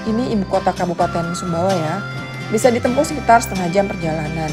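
A woman's voice: lowish voice, fast talker. A woman in her forties, a mother, narrating a family road-trip vlog in a casual, chatty voice-over with conversational asides. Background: background music.

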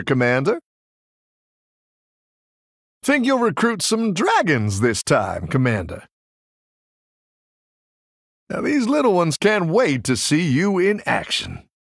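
Kindly game tavern keeper, husky, unhurried, folksy, encouraging, close dry recording. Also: male voice, high voice, no room sound, exaggerated voice. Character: friendly, encouraging tavern keeper who tends little dragons. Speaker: video game character voice actor. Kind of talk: game character voice lines.

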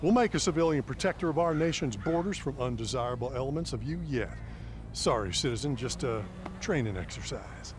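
An actor playing a dim, overzealous American militia leader in a video game, blustering and pompous. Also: male voice, medium pitch, lively intonation, brisk, close to an everyday voice. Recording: faint outdoor background noise.

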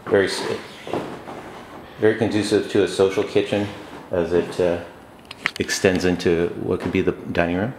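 Rental agent, male, very low voice, halting unscripted walkthrough, bare echoing rooms, noisy camera mic. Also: little pitch movement. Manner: halting, with frequent uh fillers and restarts. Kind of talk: unscripted property tour narration. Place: empty apartment, indoors, walking room to room. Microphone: camera mic on a handheld camera. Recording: echoey empty rooms with background noise.

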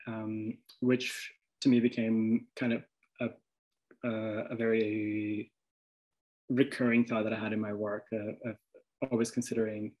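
A man's voice: mid-range voice, a little mellow, poor audio. A man from Venezuela with a Venezuelan accent, an artist presenting his work over slides, hesitant, with many restarts and fillers.

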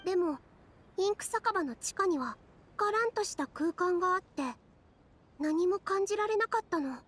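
アニメ調ゲームで、女性声優が演じる若い森の妖精。柔らかく優しい、ひたむきな話し方。. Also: female voice, extremely high pitch, animated, moderate pace, a little hiss or hum. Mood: thoughtful. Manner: soft, gentle, earnest. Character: gentle young female forest fairy, kind and earnest. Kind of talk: anime video game voice acting.

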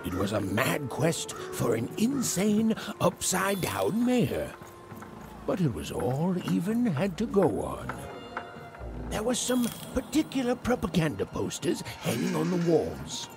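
An actor playing the measured, dramatic narrator of a gothic fairy tale in a video game. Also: male voice, fairly high pitch, huge pitch swings, heavily acted. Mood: wry, ominous. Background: game music.

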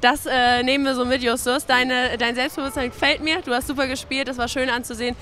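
A woman's voice: high-pitched, steady tone, brisk, pushed and bright, slight background noise. A young woman holding a handheld mic, upbeat and friendly as she interviews players and the coach after a game in an indoor arena. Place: indoor arena, in front of a sponsor wall.

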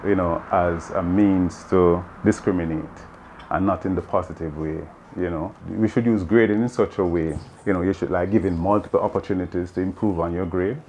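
A man's voice: low voice, fairly even intonation, a little fast, a little mellow, a little hiss or hum. A Jamaican man in his fifties, a math teacher earnestly explaining his views in an interview, often saying "you know". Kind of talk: interview answers. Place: open veranda outdoors.

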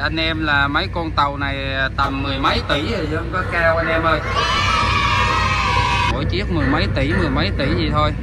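A man, casually narrating a walking tour of a fishing harbor with many filler pauses. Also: on the high side, steady tone, over a noisy crowd. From Vietnam. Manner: chatty, many filler "à" sounds, addresses viewers as brothers. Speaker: fishing vlogger. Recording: loud outdoor harbor noise.

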